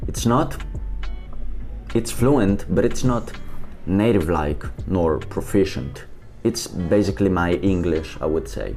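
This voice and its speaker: A man's voice: low-pitched, darkish tone. A young man from Portugal with a European Portuguese accent, a native Portuguese speaker, chatting upbeat in a solo vlog about language learning. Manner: chatty and upbeat, quick asides.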